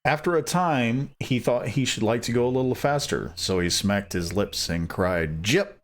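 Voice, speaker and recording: A man reading a fairy tale aloud as a storyteller, after relaxed, rambling chat. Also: medium pitch, moderate pace. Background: soft background music.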